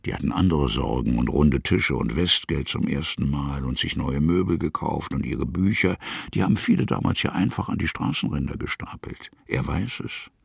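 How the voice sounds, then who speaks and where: A man narrating a radio drama in a gravelly, husky voice, musing in short phrases. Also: a bass voice, expressive, normal speed, dry recording, clean studio sound, heavily acted.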